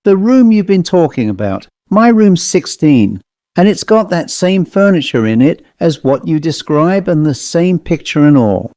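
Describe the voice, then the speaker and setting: A man's voice: on the high side, sing-song, a little slow, mellow, dry recording, voice pushed up. A man voicing a large, blunt commercial traveller in a storybook, speaking colloquially. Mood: uneasy, insistent. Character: large, heavy, blunt male commercial traveller, sceptical then uneasy.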